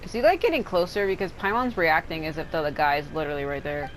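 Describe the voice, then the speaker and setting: Low-voiced woman doing blunt game commentary. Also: quick pace, slight background noise. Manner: blunt, swearing, reactive. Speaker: game streamer. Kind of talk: casual let's-play commentary. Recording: game music behind.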